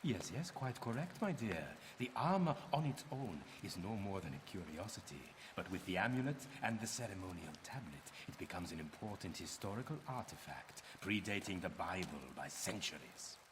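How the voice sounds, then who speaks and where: Older game villain, deep, swooping intonation, mock-polite, scheming, suave, theatrical. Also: male voice, animated, normal speed, hardly any pauses, big-room sound, noisy background. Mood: condescending. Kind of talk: video game cutscene acting.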